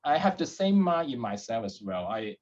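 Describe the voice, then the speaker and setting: A man from Hong Kong, a podcast guest, who answers in a halting way and repeats words as he gathers his thoughts. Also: mid-range voice, sing-song, a little mellow, lo-fi sound. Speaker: guest, head of data at a startup.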